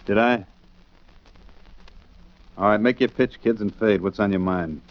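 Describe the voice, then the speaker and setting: An actor playing a tough, menacing gangster in a 1940s radio crime drama, with curt, commanding, slangy talk. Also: male voice, fairly low pitch, a little slow, natural voice. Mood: gruff, dismissive. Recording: muffled, noisy 1940s radio recording.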